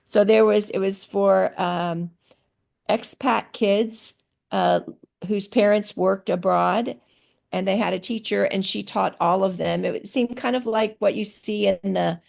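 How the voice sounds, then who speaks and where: A middle-aged woman answering interview questions about teaching on a video call, speaking thoughtfully with many pauses and fillers. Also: mid-range voice, steady tone, vocal fry, darkish tone. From grew up in Thailand.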